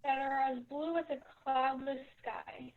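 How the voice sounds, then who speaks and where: A woman's voice: high-pitched, steady tone, unhurried. A woman playing a dreamy, gushing, spoiled young stepsister in a children's play reading. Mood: swooning. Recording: thin phone-like sound.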